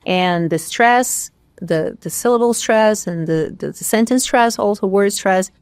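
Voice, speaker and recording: A woman English teacher from Brazil, speaking English with only a light Brazilian Portuguese accent. She talks in an animated, emphatic way and keeps a steady middle pitch. The recording is close and dry, with no room echo. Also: measured pace. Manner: animated, emphatic, laughs often. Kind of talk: casual podcast chat in English.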